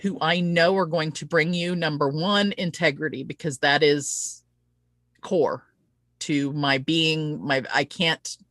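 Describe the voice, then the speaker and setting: A woman's voice: sits low, fairly even intonation, slow, fairly bright tone, no echo. Chatty, earnest woman host, dry close sound. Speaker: host of an online interview show. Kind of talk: casual promotional live-stream chat.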